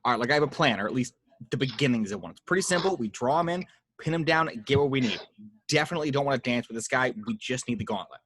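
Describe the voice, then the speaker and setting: A man playing a cocky, sarcastic, take-charge superhero with a husky voice in an amateur table read of a film script. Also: mid-range voice, animated, fast, fairly bright tone. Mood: brisk, confident.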